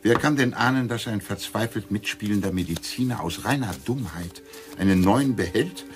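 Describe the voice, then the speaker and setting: A man's voice: lowish voice, moderate pace, over music, close to an everyday voice. An actor playing a quarrelsome, witty clergyman in a radio crime play, sardonic, eloquent and mock-formal. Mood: needling. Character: quarrelsome, witty clergyman card player, nicknamed Eminenz.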